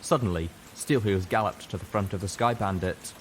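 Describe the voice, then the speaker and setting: A man's voice: lowish voice, moderate pace, a little hiss or hum. A man reading a scripted first-person story as a dramatic narrator. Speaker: audiobook reader.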